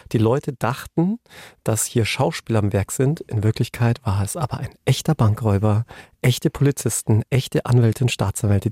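Creaky-voiced man chatting as co-host. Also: medium pitch, mellow, no room sound, clean studio sound. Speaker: podcast co-host, defence lawyer. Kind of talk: casual anecdotal chat.

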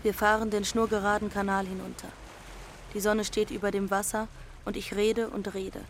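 Brief: woman as stubborn, dreamy teen runaway, pert radio drama delivery, loud engine and water behind. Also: medium pitch, flat delivery, normal speed, slight background noise, lightly acted. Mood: reflective narration. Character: cheeky, dreamy teenage runaway girl, restless and stubborn. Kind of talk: radio play acting and first-person narration.

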